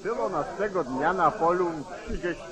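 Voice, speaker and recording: An actor playing a crude, gossiping lynch-mob member, sneering and insinuating, in an old Polish radio drama. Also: male voice, high voice, normal speed, music in the background, natural voice, poor audio. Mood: sneering, gossipy. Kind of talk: mid-century Polish radio drama acting. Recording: old 1940s radio recording, crowd.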